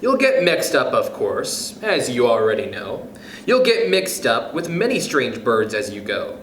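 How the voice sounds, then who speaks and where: Man, youth club storyteller, unhurried and sing-song, playful picture-book reading, echoey room. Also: medium pitch, slight background noise. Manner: expressive, playful, chuckles between passages. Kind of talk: children's picture book read-aloud.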